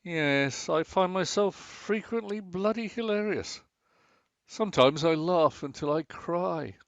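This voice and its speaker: Man acting sarcastic grumbling husband, high animated voice. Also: unhurried. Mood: sardonic. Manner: sardonic, exclamatory. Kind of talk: radio play acting. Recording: dry, slightly dull lo-fi sound.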